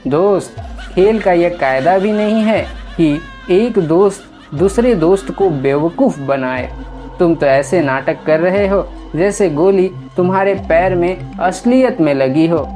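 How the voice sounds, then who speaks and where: Woman as a slow-spoken, teasing holy man. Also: lowish voice, mellow, faint music behind.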